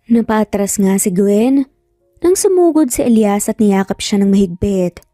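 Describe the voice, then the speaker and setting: A woman's voice: medium pitch, expressive, unhurried, warm tone, no room sound, studio quality. A woman giving a scripted, dramatic narration as a storyteller. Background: music.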